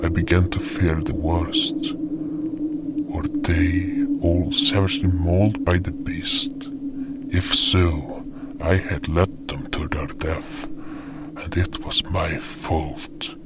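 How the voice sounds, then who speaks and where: Very deep, slow, somber male-acted guardian narrator. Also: big pitch swings, heavily acted. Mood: fearful regret. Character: somber male fantasy guardian recounting a doomed hunt. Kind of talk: scripted first-person story narration. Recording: noisy background ambience.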